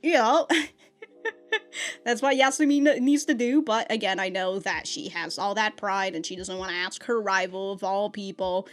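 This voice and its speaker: A woman's voice: medium pitch, steady tone, unhurried. A woman giving a chatty, rambling review as a reaction host, with casual slang and swearing. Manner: profane, slangy, laughs often while talking.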